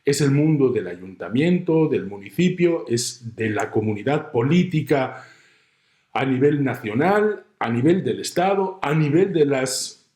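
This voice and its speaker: A middle-aged man from Spain with a Spanish accent, giving a measured, explanatory lecture. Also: mid-range voice, animated, a little mellow, echoey room. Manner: measured, explanatory, uses everyday examples. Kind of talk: recorded university lecture.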